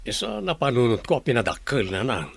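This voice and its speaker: A man's voice: mid-range voice, very animated, moderate pace, gravelly, husky, no room sound, heavily acted. A man playing a weary, guarded grown son in an Ilocano radio drama.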